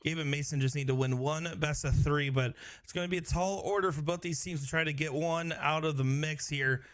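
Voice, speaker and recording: Guy doing darts commentary, even-toned, explains the brackets, dry close sound. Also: on the high side, a little fast, no echo. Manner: steady, informative, fills in bracket details. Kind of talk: live sports commentary.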